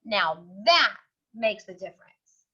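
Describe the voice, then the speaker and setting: Woman playing a slow, deliberate male school principal. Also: mid-range voice, very animated, bright, forceful tone, slightly rough, highs cut off, pitched below the performer's own voice, heavily acted. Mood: approving, announcing. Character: male school principal, firm but kindly. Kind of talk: children's storybook read-aloud.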